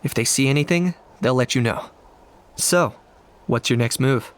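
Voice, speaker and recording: A man voicing a calm, helpful young tribesman in a video game, matter-of-fact. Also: medium pitch, normal speed, natural voice.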